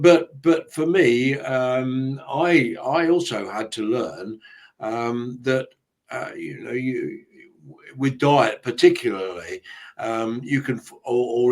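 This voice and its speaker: A man moderating an online panel, speaking over a video call. He hesitates often and circles back, with a middle-pitched, ordinary voice. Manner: hesitant, many fillers and restarts.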